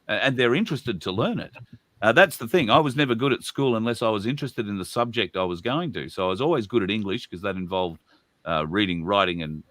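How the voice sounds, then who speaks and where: A man co-hosting a live stream, talking in a relaxed, rambling way. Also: fairly low pitch, no echo. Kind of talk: casual live stream chat.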